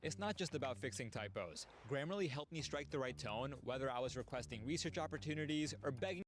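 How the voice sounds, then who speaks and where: A man's voice: mid-range voice, animated, fast talker. A man giving a scripted testimonial for an advertisement.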